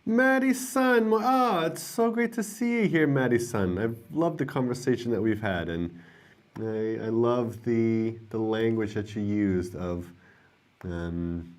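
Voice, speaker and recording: A man hosting a livestream, chatting casually with viewers in a soft, drowsy way because he is not well rested. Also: medium pitch, animated, a little slow. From United States. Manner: soft-spoken and drowsy, trailing off quietly. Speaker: livestream host. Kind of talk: casual livestream chat, reading viewer comments.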